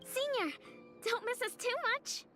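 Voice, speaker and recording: A woman voicing a cheeky, excitable young girl student in an anime game. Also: very high-pitched, a little slow, soft background music, exaggerated voice. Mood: teasing. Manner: teasing, excitable. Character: playful, bold, cheeky young girl student with artistic ambitions.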